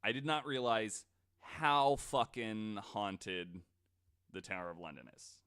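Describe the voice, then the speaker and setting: A man's voice: fairly low pitch, slow, a bit bright, clear, resonant tone. A man hosting a podcast, telling stories to co-hosts in a casual, joking, informal way with some swearing. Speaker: podcast host.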